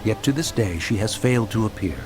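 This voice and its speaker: Guy voicing proud refined immortal from a game, lofty old-time wording, lively pitch, music behind. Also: fast talker, natural voice. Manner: archaic, formal, lofty, expressive. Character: proud, touchy, refined ancient male adeptus in human form. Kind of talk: English video game dub acting. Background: background music.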